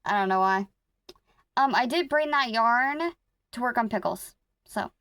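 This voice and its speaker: A woman with a somewhat high voice chats slowly and unhurriedly through a late-night crochet vlog, wandering off track and correcting herself as she works. Her throat sounds a little rough, and the sound is close and dry with no room around it. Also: lively intonation, a bit bright, dry recording. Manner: rambling, self-correcting, talks to viewers while working. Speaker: crochet vlogger. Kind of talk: casual chatty vlog.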